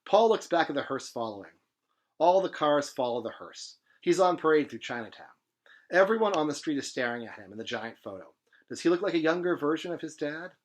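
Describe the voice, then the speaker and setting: A man reading literary fiction aloud in an expressive, dramatic manner with emphatic phrasing. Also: a little high, fairly quick. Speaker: presenter reading a novel aloud.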